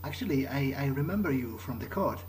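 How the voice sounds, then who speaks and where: A man's voice: medium pitch, animated, fairly quick, a little mellow, slight background noise. A man doing in-character video game commentary, thinking aloud in a musing, understated way. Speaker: gamer doing an in-character playthrough. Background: game music and street sounds.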